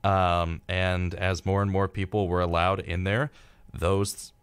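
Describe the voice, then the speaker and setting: A man's voice: low voice, level tone, takes it slowly, clean tone, dry recording. A young American man hosting a radio show in a casual, laid-back way, reading out facts and adding offhand remarks. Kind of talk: casual conversational radio talk. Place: radio studio.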